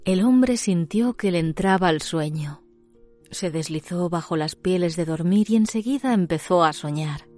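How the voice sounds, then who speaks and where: A woman narrating a literary tale as a storyteller, in a measured, dramatic scripted delivery. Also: on the low side, a little mellow, soft background music.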